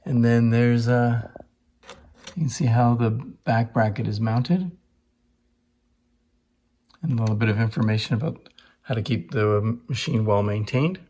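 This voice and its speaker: The room echoes clearly around a man's medium-pitched voice. He explains things informally, like a presenter giving a hands-on demonstration. His delivery is conversational and appreciative. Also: unhurried, pauses often, dark tone, a little hiss or hum. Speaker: presenter showing antique phonographs.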